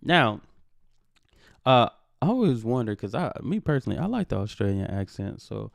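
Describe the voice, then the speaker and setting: A man's voice: medium pitch, big pitch swings, normal speed, creaky, no room sound. A man with a Texan accent, chatting casually as the host of a reaction video, rambling with plenty of fillers. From Texas, USA.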